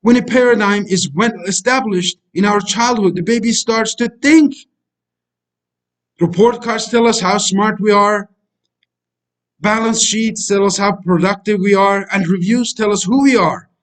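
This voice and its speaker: A man's voice: high voice, unhurried, a bit bright, poor audio. A middle-aged man whose native language is Kurdish, lecturing as a life coach in a preachy, repetitive way and calling the listeners friends. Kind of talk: motivational voice-over lecture over slides.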